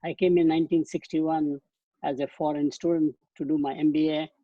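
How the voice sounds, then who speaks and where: An old man with an indian accent, fairly high for a man, soft mellow tone with a bit of husk, talking through slides in long wandering sentences that restart a lot, dull flat sound with no room echo. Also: fairly quick. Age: 80s. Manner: loose rambling phrasing with restarts. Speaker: guest speaker. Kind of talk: webinar lecture with slides. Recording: dull dry webcast audio.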